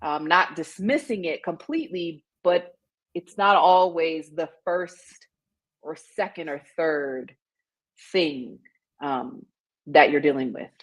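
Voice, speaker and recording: A low-voiced woman from the United States talks as a guest in a casual interview. She speaks reflectively and keeps her talk loose, full of easy fillers. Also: lively intonation, takes it slowly.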